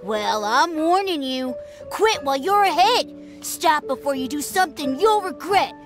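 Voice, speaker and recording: A woman's voice: high-pitched, animated, a little slow, music in the background. An actress voicing a cocky, suspicious little boy who acts the mighty warrior in an anime-style video game, brash and blustering. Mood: suspicious, warning.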